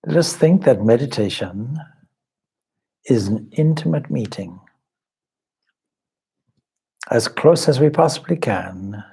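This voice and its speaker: A man leading a guided meditation as a spiritual teacher, speaking in a measured, contemplative manner with long pauses and a husky voice. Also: medium pitch, expressive, mellow.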